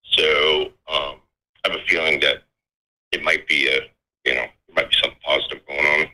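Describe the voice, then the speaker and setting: Very bright, low-pitched man talking informally. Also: little pitch movement, normal speed, poor audio. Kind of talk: informal spoken account of scanner reports.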